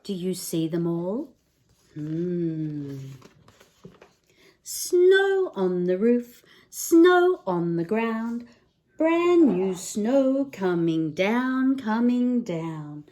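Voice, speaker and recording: A woman reads a children's picture book aloud very slowly. Her higher-than-average voice swings expressively through sing-song phrases and questions. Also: very animated, warm tone. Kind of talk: children's read-aloud narration.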